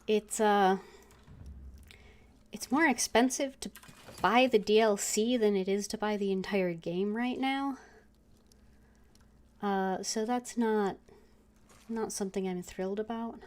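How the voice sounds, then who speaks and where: A young woman streamer chatting casually over her gameplay, with a mid-pitched, ordinary voice. She trails off and hesitates with fillers as she thinks aloud. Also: slow pace, long pauses.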